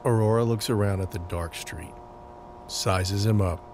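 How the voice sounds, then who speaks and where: Very deep male drama narrator. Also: unhurried, noisy background. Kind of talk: scripted narration of an audio drama.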